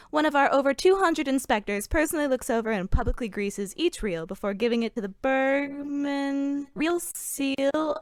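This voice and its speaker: A woman's voice: clean tone, no room sound, studio quality. A woman playing a polished, earnest sponsor announcer, reading a parody old-time radio advertisement. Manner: polished sales pitch.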